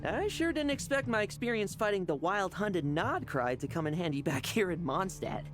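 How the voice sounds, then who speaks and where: A man's voice: high-pitched, animated, normal speed. A man playing a brash, battle-hungry young knight in a video game, cocky and offhand. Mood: cocky, eager. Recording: game music behind.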